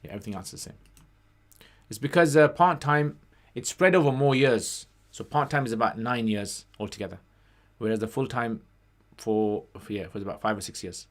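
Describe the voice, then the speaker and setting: A man's voice: fairly low pitch, moderate pace. A man hosting a casual livestream Q&A, reading out questions and answering conversationally, with Arabic phrases sprinkled in.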